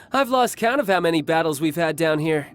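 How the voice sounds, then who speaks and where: An actor playing a cocky, playful young warrior in a video game, breezy and teasing. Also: male voice, fairly high pitch, sing-song, a little slow. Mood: breezy, confident. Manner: breezy, teasing, self-assured. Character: cocky, playful young male warrior who relishes battle. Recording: background music under the voice.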